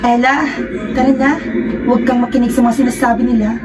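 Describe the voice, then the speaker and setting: A woman's voice: a little high, little pitch movement, a little fast, lightly acted. A woman with a Filipino accent playing an urgent, defiant student friend in an amateur radio drama. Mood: urgent, firm. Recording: distant mic, faint music.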